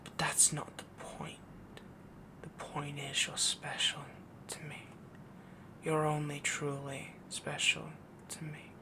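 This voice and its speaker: A man playing a possessive, doting young werewolf boyfriend in a soft, breathy, half-whispered intimate roleplay audio, rambling and dominant as he coos endearments. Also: fairly high pitch, monotone, slow. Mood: jealous, possessive.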